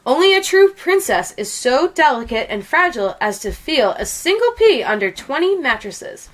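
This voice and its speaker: A woman's voice: high voice, big pitch swings, normal speed, pitched above the performer's own voice. A woman voicing a regal penguin queen mother in a storybook, formal and insistent.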